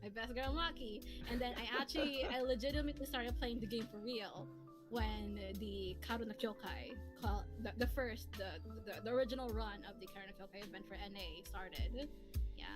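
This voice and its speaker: A woman's voice: medium pitch, a little fast. A woman chatting casually and giggling often as she answers questions on a livestream, as one of the hosts. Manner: giggly, laughs often while talking. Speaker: streamer, co-host answering chat questions. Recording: music playing behind the voice. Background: background music.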